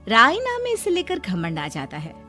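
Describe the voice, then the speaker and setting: High, animated woman narrating a story. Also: normal speed, faint music behind. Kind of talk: scripted cartoon story narration.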